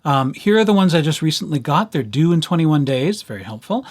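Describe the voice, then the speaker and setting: A man's voice: a little high, sing-song, brisk. A man presenting an informal screencast tutorial for library users, talking through each step in a playful, conversational way with little asides.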